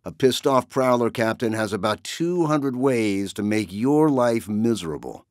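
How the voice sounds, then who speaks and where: A man's voice: on the low side, expressive, normal speed, no echo, clean studio sound, voice pushed up, natural voice. Man voicing a stern, cautious female starship captain. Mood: sharp warning. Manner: firm, blunt, formal military.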